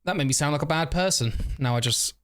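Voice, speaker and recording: A man's voice: fairly high pitch, fast, fairly bright tone. A man rambling through casual game commentary, overtired and a bit stuffy and nasal, often laughing at himself. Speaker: gaming YouTuber. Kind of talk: casual let's-play commentary.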